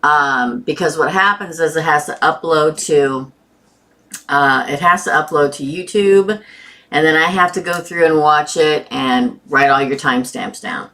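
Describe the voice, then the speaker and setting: Woman, tarot reader, sore throat, low-pitched, bright tone, chatty live-stream host. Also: measured pace. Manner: chatty, addresses viewers by name. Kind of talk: casual live-stream chat.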